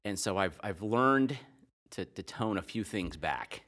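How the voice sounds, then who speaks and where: A man's voice: lowish voice, lively intonation, a little slow, a bit bright. A man co-hosting a podcast, a teacher who teases his partner and explains science facts. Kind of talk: casual chat.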